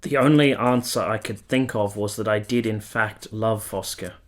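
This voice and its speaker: An actor playing a lovestruck, conflicted nineteenth-century man in a radio drama, speaking in earnest, measured, literary phrases. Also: fairly low pitch, steady tone, lightly acted. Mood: fervent, reflective. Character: Giorgio, lovestruck, conflicted 19th-century Italian man, confessional narrator. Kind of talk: radio drama narration and intimate dialogue.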